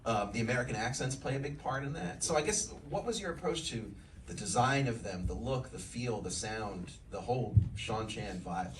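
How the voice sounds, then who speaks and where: Low-ish guy asking long um-filled questions, far off mic, light room hum. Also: lively intonation, normal speed. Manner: rambling, hedging questions full of "like" and "um". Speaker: interviewer. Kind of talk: live Q&A questions. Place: screening event hall. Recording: echoey room, distant mic.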